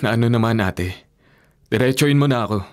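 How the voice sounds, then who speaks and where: An actor with a Filipino accent playing a devoted, soft-hearted young husband in a radio drama, speaking earnestly and gently. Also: male voice, medium pitch, brisk, natural voice. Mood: mildly defensive. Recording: music bed behind dialogue.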